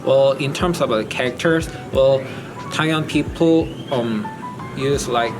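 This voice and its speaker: A man's voice: medium pitch, measured pace, over music. A man from Korea answering a street interview, hesitant and full of fillers like "well".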